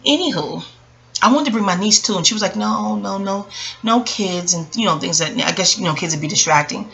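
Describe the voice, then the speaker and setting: A woman's voice: medium pitch, animated, brisk, gravelly, a bit bright, muffled recording, a little hiss or hum. A woman from Queens telling a story casually to viewers, conversational, slipping into reported dialogue. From Queens, New York. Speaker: vlogger.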